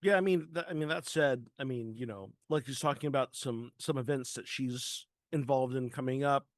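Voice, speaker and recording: A man's voice: mid-range voice, moderate pace, dry recording, studio quality. A man from San Diego co-hosting a podcast in casual chat, hesitant with many ums. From San Diego, California. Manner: hesitant, full of ums and restarts. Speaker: podcast co-host.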